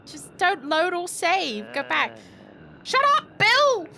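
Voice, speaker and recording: A woman doing casual live game commentary, excitable and playful, reacting aloud and swearing freely. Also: very high-pitched, slow pace, vocal fry. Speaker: game streamer. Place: indoor room, live gaming stream.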